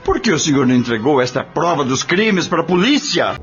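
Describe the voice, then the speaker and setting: Man playing earnest rural family man, higher-pitched, very expressive, indignant, radio drama, music behind. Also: a little fast. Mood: resolute, questioning. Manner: emotional, emphatic, plain rural speech. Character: humble married farm man, earnest, indignant and anguished. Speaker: actor in an audio drama. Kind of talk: dramatic radio-novela acting. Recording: dull lo-fi audio drama recording. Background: prominent background music.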